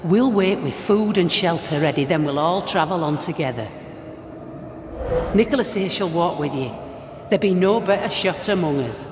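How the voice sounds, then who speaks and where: A man playing a steady, commanding settlers' leader in a video game, with measured, old-fashioned phrasing. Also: a little high, big-room sound, noisy background, natural voice. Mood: firm.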